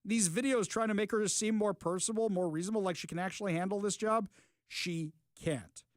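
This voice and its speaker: A man's voice: sits high, brisk, dry recording, clean studio sound. A middle-aged man from Seattle hosting a talk radio show, delivering combative, emphatic commentary in punchy short declarations. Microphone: studio microphone.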